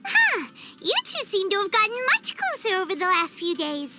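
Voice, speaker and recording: A woman voicing a tiny, chirpy female fairy companion in a video game cutscene, quick and expressive. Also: very high-pitched, exaggerated voice. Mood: curious. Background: loud game music.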